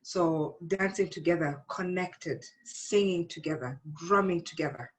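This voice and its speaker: A middle-aged woman, speaking as an interview guest in a measured, explanatory and reflective way. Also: on the low side, rough recording. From born in Uganda, grew up in South Africa. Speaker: interview guest, psychologist and teacher.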